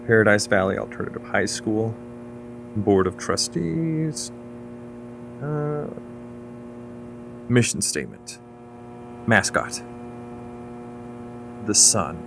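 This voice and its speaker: An actor playing an earnest, rule-minded school administrator with a hesitant, deferential manner in a scripted audio drama. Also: male voice, fairly low pitch, sing-song, slow, vocal fry, pauses often, noisy background, heavily acted. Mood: reading aloud.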